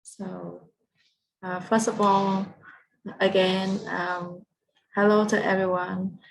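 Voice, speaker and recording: Slow Vietnamese-accented woman speaking carefully. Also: medium pitch, little pitch movement, vocal fry, poor audio. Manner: careful, halting English phrasing. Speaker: guest speaker. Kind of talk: online presentation. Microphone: video call.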